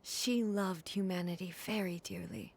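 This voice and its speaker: A woman playing a dignified, earnest ruling deity in a video game, speaking in a formal, measured way. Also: lowish voice, big pitch swings, darkish tone, exaggerated voice. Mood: wistful, earnest. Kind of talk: scripted video game voice acting. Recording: music behind the voice. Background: game music.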